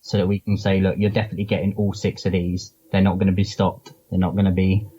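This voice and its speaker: Low-pitched man, dull lo-fi line. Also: monotone, fast, dark tone, breathy edge. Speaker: podcast guest, comics charity founder and publisher. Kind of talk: casual interview chat.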